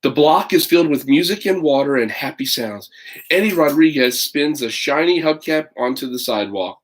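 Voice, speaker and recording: A man's voice: on the high side, expressive, normal speed, poor audio. Chatty man reading a storybook aloud. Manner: chatty, folksy asides to listeners. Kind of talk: children's picture book read-aloud with casual asides.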